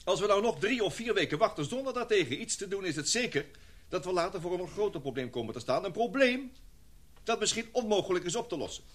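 A man's voice: high-pitched, expressive, fairly bright tone. Een man die in een hoorspel een ernstige, methodische professor speelt, met een bedachtzame, uitleggende manier van praten. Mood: earnest, grave. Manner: deliberate, explanatory. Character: earnest, methodical male professor advising a commission. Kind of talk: radio drama acting.

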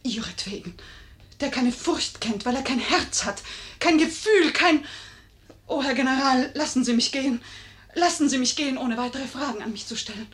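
A woman's voice: sits high, moderate pace, fairly bright tone. An actress playing an elegant, cunning lady who feigns helpless fear, in a theatrical 1950s German radio play style. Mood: impassioned pleading. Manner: pleading, theatrical.

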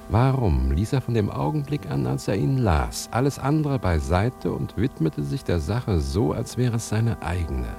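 A man with a creaky voice reading scripted narration to listeners as announcer of a radio serial. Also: mid-range voice. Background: guitar music.

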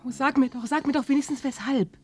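Spurned lover, female actor: breathy, fairly high, imploring, radio play over soft music. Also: fast talker, close to an everyday voice. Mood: pleading, wounded. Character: spurned, desperate woman pleading for love. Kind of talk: German radio drama acting.

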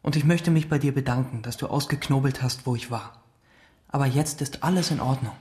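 Young man acting teenage boy, mid-pitched, flippant, stubborn, radio drama. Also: fairly quick, a little mellow, close to an everyday voice.

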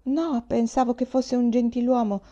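A woman's voice: high voice, fairly even intonation, moderate pace, warm tone, muffled recording, voice pushed up. A woman voicing a frightened but earnest young girl in an audiobook, with a faint, hesitant, meek delivery. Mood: timid, frightened. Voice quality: faint, thin. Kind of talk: audiobook character voice.

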